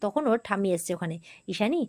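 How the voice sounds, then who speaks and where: A woman's voice: on the high side, lively intonation, fast talker. A woman. She is informal and chatty, moving between narration and quoted dialogue like a recap host talking to her followers. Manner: chatty, with frequent quoted back-and-forth. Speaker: host of a TV serial recap channel.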